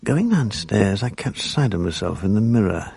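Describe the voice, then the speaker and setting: A gravelly, sleepless writer in his fifties. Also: on the low side, sing-song, normal speed, a little mellow, no echo, full character voice. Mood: weary. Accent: British. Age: 50s. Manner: dry, self-mocking, gloomy. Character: weary bereaved middle-aged writer, sleepless and bitter. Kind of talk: radio drama narration.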